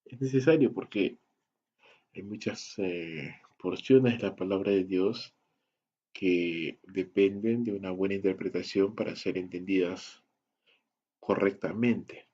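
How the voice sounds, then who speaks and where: A man with a very low, husky voice gives a slow, careful Bible lesson. He walks through points one by one and poses questions to the listener. The recording sounds a little dull and muffled. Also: darkish tone. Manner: measured, list-like delivery with rhetorical questions. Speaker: Bible study teacher. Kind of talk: slow, deliberate teaching lecture.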